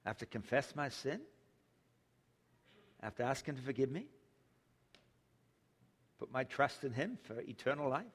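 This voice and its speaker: Older preacher in an echoey hall. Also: male voice, medium pitch, very animated, moderate pace, long pauses, slightly rough. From England. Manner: humorous storytelling, chuckles at own jokes. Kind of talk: sermon. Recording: long hall reverb.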